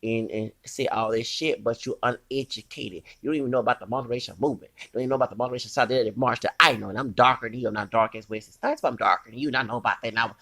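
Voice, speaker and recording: A man's voice: medium pitch, racing, hardly any pauses, pushed and bright. A man, ranting in a heated, rambling commentary and scolding his critics with curses. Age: 40s.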